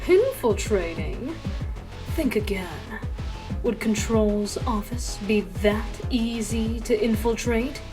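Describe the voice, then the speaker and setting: A woman acts out a sly female agent, sure of herself and mocking. Her teasing lines come in a slow, fairly high voice. Quiet music plays behind her. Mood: teasing, smug. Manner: teasing, smug. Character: sly, mocking female secret agent, unhurried and self-assured. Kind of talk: dramatic fan-dub acting. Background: background music.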